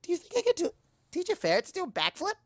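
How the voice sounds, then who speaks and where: Hyperactive cartoon party mare done by a man: squeaky-high, loud, eager, swooping. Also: huge pitch swings, very fast, no room sound, clean studio sound, full character voice. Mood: overexcited. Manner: squealing, overexcited. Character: hyper, eager, bouncy young female party pony. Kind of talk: cartoon fan fiction character reading.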